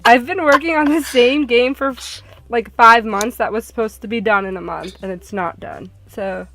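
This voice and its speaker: A woman's voice: on the high side, moderate pace, a crowd in the background. A woman chatting casually as a co-player in a group game playthrough. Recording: music playing behind the voice.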